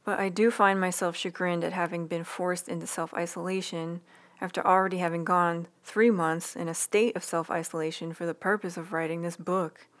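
A woman reads a personal essay aloud in an ASMR read-along style, soft, unhurried and intimate, with audible breath and lip sounds. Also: on the low side, level tone. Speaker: writer reading own newsletter aloud. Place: quiet room indoors. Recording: quiet room hiss, close breath sounds.

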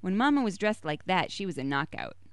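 A woman reading a short story aloud, voicing its dialogue a little higher and brighter than her narration. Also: very animated, a little fast, clear, resonant tone, no echo, studio quality. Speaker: story reader.

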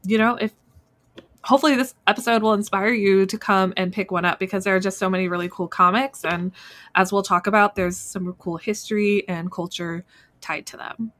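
Mid-pitched woman hosting informally. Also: steady tone, brisk, a bit bright. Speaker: podcast host. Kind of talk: announcements and casual hosting.

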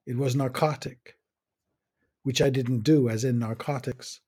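A man, reading a slow, reflective personal monologue as a podcast host. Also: medium pitch, steady tone, warm tone, slightly rough. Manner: measured, musing, with long pauses between short phrases.

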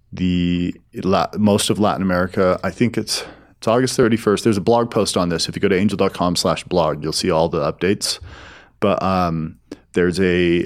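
A man giving explanatory answers as a business guest in an interview, in a halting way with repeated and restarted phrases. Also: low voice. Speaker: interview guest, film studio executive.

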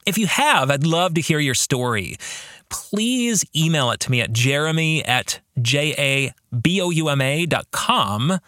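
A man's voice: a little high, sharp tone, no echo, studio quality. An American man hosting a podcast, talking earnestly and reflectively to listeners, with emphasis and probing questions. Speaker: podcast host and author leading a book club.

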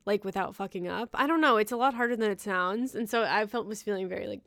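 A woman's voice: mid-range voice, quick pace, gravelly, fairly bright tone, no room sound. Chatty woman, dry close sound. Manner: chatty, laughs often, many fillers. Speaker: podcast host. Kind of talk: casual chat with guests.

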